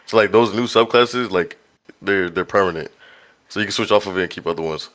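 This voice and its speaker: A man's voice: deep voice, a little fast, a bit bright. A man hosting a casual voice-chat Q&A as a game developer, slangy and laughing often. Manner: slangy, laughs often, says bro a lot.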